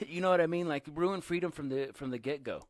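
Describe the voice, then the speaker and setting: A man's voice: fairly high pitch, brisk, no room sound. Easygoing man agreeing on a podcast. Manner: agreeable, chimes in with short replies. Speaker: podcast co-host. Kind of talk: casual chat.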